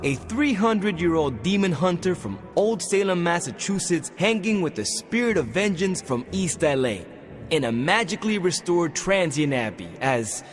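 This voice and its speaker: A man's voice: high voice, very animated, moderate pace, soft background music. A man voicing a friendly, casual young street-raised superhero in a video game. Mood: confiding. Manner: casual, conversational. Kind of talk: video game cutscene acting.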